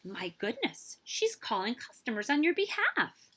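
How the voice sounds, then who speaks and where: A woman's voice: a little high, sing-song, unhurried, pushed and bright, breathy edge, pitched above the performer's own voice, exaggerated voice. A woman voicing a kindly, astonished old male merchant in a storybook, emphatic and full of wonder. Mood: wondering, grateful.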